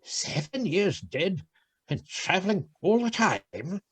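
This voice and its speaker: An actor playing a crotchety, skeptical old miser in a radio play, with a querulous, theatrical delivery. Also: male voice, high-pitched, sing-song, takes it slowly, slightly rough, heavily acted. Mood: cautious.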